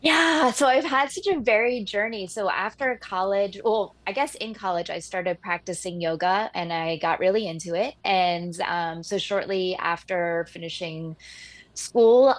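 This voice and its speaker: A woman in her forties from Philadelphia, chatting as a guest in a casual interview, bubbly and quick to laugh, with plenty of fillers. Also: on the low side. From Philadelphia, USA. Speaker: guest, new staff member.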